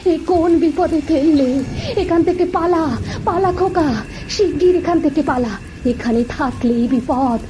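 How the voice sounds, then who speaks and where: A woman's voice: sits high, unhurried. A woman voicing a frightened, pious village mother in a horror cartoon, pleading and repeating herself, with rain and thunder. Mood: fearful, urgent. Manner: repetitive, wailing, pleading. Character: panicked pious village mother begging for mercy. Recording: rain and thunder noise over voice.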